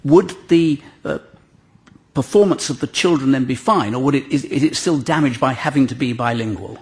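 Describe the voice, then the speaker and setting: A man hosting a radio panel debate, briskly calling on speakers and cutting in to keep order. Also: medium pitch. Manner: brisk, calls names and cuts in to manage debate. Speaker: host.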